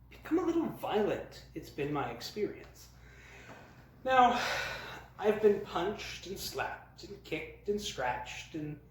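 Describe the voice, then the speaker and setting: A man telling humorous personal stories as a host, in a theatrical, mock-grand way with dramatic pauses. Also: high-pitched. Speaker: storyteller, host of a storytime series. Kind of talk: humorous personal storytelling to camera. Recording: very echoey, roomy sound.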